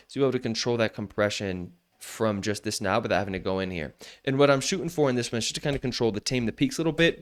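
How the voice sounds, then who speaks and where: A man teaching vocal mixing in a casual, loose tutorial from a home studio, often restarting his sentences as he explains. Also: mid-range voice. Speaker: mixing instructor. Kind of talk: casual screen-share tutorial.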